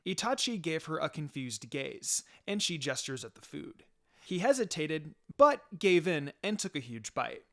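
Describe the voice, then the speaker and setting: A man's voice: fairly high pitch, very animated, unhurried, creaky, a bit bright. A man narrating a scripted fan fiction story as a storyteller. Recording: music behind the voice.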